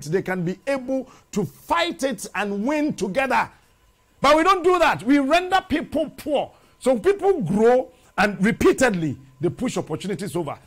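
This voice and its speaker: A middle-aged Ghanaian man hosting a TV studio show, delivering a preachy, animated monologue in Twi and English, repeating phrases rhythmically into a lapel mic. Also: high-pitched. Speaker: TV host. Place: TV studio with brick wall.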